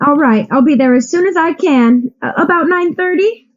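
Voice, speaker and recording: Woman playing a radio-play client on the phone, hesitant, high voice, muffled sound. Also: normal speed. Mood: obliging.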